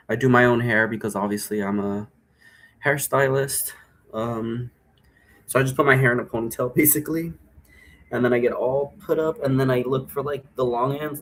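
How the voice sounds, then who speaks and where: A man's voice: medium pitch, a bit bright. A man hosting a solo vlog, talking in a chatty, informal, meandering way. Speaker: host. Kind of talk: casual rambling solo vlog. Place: indoor room at home.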